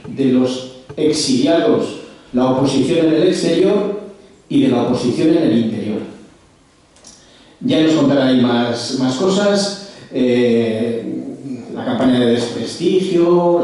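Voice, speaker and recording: A man's voice: fairly high pitch, mellow, distant mic. Hesitant man introducing a lecture. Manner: hesitant, many fillers and restarts. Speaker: lecture presenter. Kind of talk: formal introduction at a public lecture. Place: large echoey lecture hall. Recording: echoey hall, speaker off-mic.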